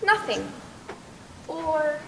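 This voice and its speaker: Casting: young girl playing clever, respectful young female storyteller, very high voice, formal stage delivery, echoing noisy hall. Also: big pitch swings, drawn-out words, creaky, heavily acted. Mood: calm, knowing. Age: young teenager. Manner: formal, theatrical projection. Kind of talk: school stage play acting. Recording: echoey hall, noisy distant stage recording.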